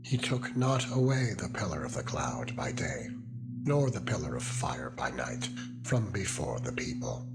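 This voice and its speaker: A man reading scripture as a solemn, dramatized narration, with deliberate, weighty phrasing. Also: low voice, sing-song, vocal fry, rough recording. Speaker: Bible narrator. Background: music.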